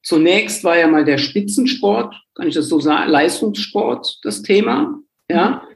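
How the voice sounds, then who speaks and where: A man hosting a casual interview show, speaking in halting, rambling phrases. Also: high-pitched, lively intonation, vocal fry, roomy sound, rough recording.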